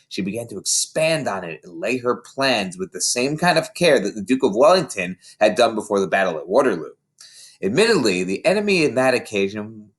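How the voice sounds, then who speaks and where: A man reading a children's story aloud in an expressive way, like an audiobook narrator. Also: fairly low pitch. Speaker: story reader.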